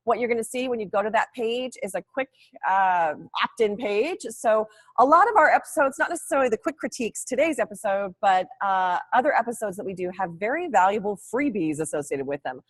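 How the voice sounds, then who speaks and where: A woman's voice: mid-range voice, expressive, fairly quick. A woman from Oregon hosting a casual live-stream chat, bubbly and chatty, laughing often. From Oregon, USA. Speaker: host.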